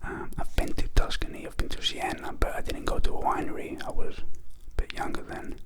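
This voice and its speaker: Guy whispering close to the mic, slow soft asmr q&a, lots of ums. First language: Greek. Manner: soft unhurried whisper with many fillers. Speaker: ASMR channel host.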